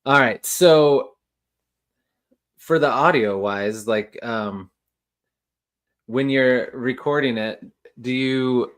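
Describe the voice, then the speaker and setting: Male stream host, mid-pitched, relaxed and encouraging interviewer. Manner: laid-back, encouraging, quick laughs. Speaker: host of a book live stream. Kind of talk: casual live-stream interview.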